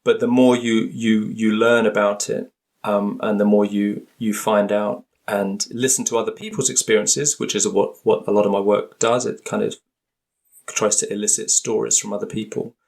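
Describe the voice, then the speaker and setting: A low-pitched man with a gravelly, creaky voice talks things through in an interview. He pauses, restarts and searches for words as he explains. He sounds like a guest reflecting as he goes. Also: flat delivery, a little mellow. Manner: hesitant, many restarts and fillers. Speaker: linguist guest. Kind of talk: thoughtful interview talk.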